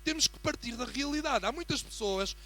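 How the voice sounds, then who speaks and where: A man with a European Portuguese accent preaching as a guest in a church, building from a quiet greeting to fervent emphasis. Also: extremely high pitch, lively intonation, fairly quick. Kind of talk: sermon.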